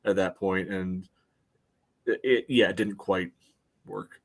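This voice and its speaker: Slightly deep voiced guy co-hosting a soccer podcast, chatty match breakdown, hedges his points a bit. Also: fairly even intonation, takes it slowly. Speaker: sports podcast co-host.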